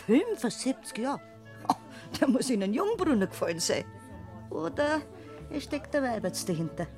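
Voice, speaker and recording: An actress playing an older gossiping Bavarian peasant aunt, earthy and scolding, in a classic radio play. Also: female voice, fairly high pitch, sing-song, moderate pace, a little mellow, faint music behind.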